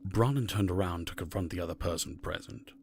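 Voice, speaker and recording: A man's voice: sits low, a little fast, creaky, darkish tone, breathy edge. A man narrating a scripted fantasy story as its narrator.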